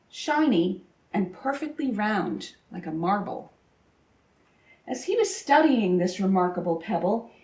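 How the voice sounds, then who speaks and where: A woman reads a children's picture book aloud expressively, with deliberate, dramatic phrasing and pauses for emphasis. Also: medium pitch, darkish tone. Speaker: storybook reader. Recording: roomy echo, distant microphone.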